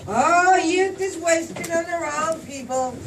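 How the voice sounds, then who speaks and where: An actor playing a crotchety, exasperated older neighbor in a radio drama. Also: male voice, very high-pitched, slow. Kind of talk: old-time radio drama stage acting. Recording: distant stage mic with background noise.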